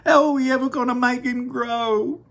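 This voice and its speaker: A storybook reader voicing an anxious middle-aged mother in a high, wailing, fretful voice. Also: lively intonation, a little slow.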